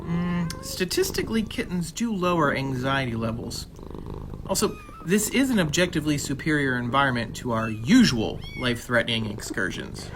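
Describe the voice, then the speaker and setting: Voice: mid-range voice, sing-song, normal speed, natural voice. An actor playing a doom-predicting space scout in a sci-fi radio comedy, nervous and quoting statistics. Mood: anxious. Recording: clear background noise.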